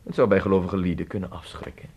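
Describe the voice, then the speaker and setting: Man playing inquisitive Spanish gentleman traveller, nearly forty, low voice, formal and wordy, radio play, noisy old recording. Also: steady tone, quick pace, lo-fi sound, natural voice. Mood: courteous, curious. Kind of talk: radio drama acting.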